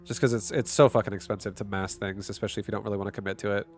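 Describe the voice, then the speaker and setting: A man with a stuffy nose giving casual live commentary while streaming a game, thinking out loud and now and then breaking into excited shouts. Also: on the low side, rapid-fire, soft background music.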